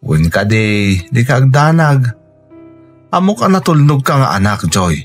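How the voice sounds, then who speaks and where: An actor playing a steady, supportive young Filipino man with an Ilocano accent, reassuring in a radio drama. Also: mid-range voice, measured pace, soft background music. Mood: reassuring, advising.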